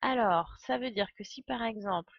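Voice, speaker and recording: A woman's voice: mid-range voice, moderate pace, gravelly, breathy edge, no room sound, highs cut off. A woman with a cold, thinking aloud as she gives casual live game commentary with chatty asides to viewers. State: has a cold. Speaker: game streamer. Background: faint game music.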